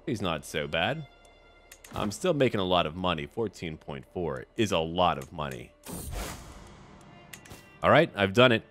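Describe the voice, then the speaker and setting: A man's voice: low voice, very animated, unhurried, pauses often, a bit bright. A man giving casual commentary over a strategy game, talking to himself in short remarks and reading bits aloud. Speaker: gaming video host. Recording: music behind the voice. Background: background music.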